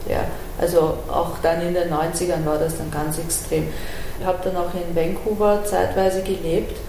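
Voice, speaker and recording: A woman's voice: on the low side, flat delivery, normal speed, warm tone. A middle-aged woman from Iran who grew up in Vienna, speaking as an interview guest, frank and reflective in long run-on sentences. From Iran, raised in Vienna. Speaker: interview guest, artist and activist. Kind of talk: conversational interview. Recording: roomy echo, faint ambient noise.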